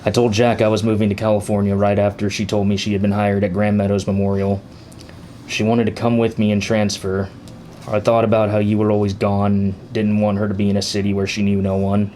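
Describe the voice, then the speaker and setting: A man in his twenties voicing a remorseful young adult son, speaking in a quiet, even, confessional way in an audiobook. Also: fairly low pitch, fairly quick, a little hiss or hum, lightly acted. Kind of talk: audiobook character reading.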